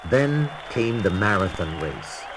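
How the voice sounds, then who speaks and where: A man narrates a children's read-along record over music. His voice is fairly low and unhurried, with lively rises and falls in pitch. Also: crowd noise, poor audio. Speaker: storybook narrator.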